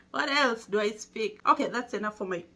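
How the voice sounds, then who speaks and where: A woman's voice: mid-range voice, normal speed, fairly bright tone. A young Kenyan woman with a Kenyan accent, talking casually to camera in a small indoor room and patiently explaining things. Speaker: vlogger.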